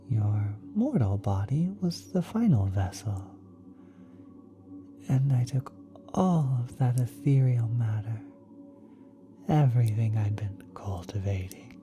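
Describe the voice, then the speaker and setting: Woman doing a gentle cosmic parent asmr voice, super low n breathy, slow, tender whispering, soft music behind. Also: exaggerated voice. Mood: tender, explaining. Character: kind, doting ethereal cosmic being, parent of a mortal. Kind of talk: ASMR roleplay.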